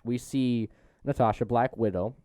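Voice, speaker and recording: A man's voice: medium pitch, fairly even intonation, unhurried, warm tone, clear, resonant tone, no room sound, clean studio sound. A man hosting a podcast, chatting casually about a movie as he works through a plot summary. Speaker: podcast host.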